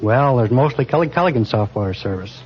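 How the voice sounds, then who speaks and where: Muffled vintage radio sound with faint noise. An American man of middling pitch answers as a quiz contestant, speaking plainly like a door-to-door salesman describing himself. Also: expressive, fast talker. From Chicago, United States.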